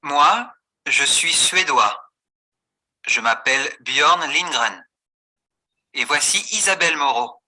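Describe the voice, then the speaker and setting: A man records a textbook dialogue for learners in a bright, husky voice. He speaks slowly and with lively intonation. Every word is carefully separated for beginners. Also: fairly high pitch, rough recording. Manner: slow, clearly articulated. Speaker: voice on course audio.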